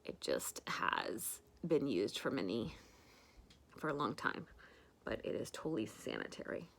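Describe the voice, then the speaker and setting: Low-voiced woman chatting through makeup. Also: fairly bright tone. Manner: chatty, rambling with many fillers. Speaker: makeup tutorial host. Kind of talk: casual makeup tutorial. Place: home.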